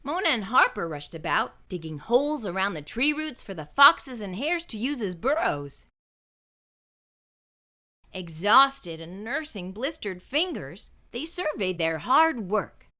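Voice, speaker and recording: A woman reading a children's story aloud as an expressive narrator. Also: medium pitch, a little slow. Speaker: children's book reader.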